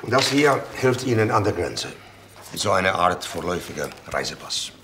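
Official police inspector, noisy background. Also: male voice, low-pitched, big pitch swings. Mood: calm, matter-of-fact. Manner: official, deliberate. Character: male Czech police inspector, stern yet fair. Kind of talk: comedy radio play acting.

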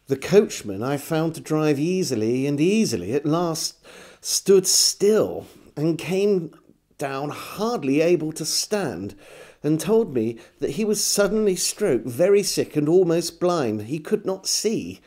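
Slow, expressive male storyteller. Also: fairly high pitch. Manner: measured, theatrical, with deliberate pauses. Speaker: storyteller reading aloud. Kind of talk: expressive literary diary reading. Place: small home room with bookshelves.

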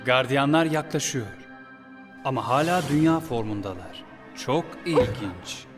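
Animated villain prince, male actor: cold schemer, medium register, deliberate delivery, heavy music underneath. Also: mid-range voice, natural voice. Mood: cold, calculating. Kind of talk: dubbed cartoon acting. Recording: loud music behind the voice.

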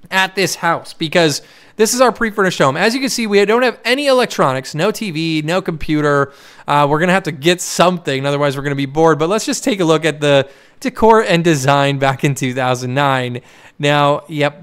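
A young man with a higher voice chatting casually and thinking aloud as he hosts a game playthrough. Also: fairly bright tone. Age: young adult. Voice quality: higher-pitched, clear smooth voice. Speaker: gaming video host. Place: small home room, indoors. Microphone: desk microphone close to mouth. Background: game music.

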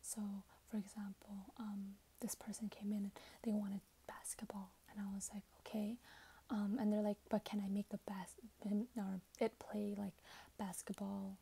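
A woman in her twenties speaking softly and breathily, often in a whisper, in a casual ASMR roleplay as a shop assistant chatting with a customer. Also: mid-range voice, flat delivery, moderate pace, creaky, breathy edge. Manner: casual, conversational, hesitant pacing as if chatting with a customer. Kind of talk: soft-spoken ASMR roleplay. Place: small plain room indoors.

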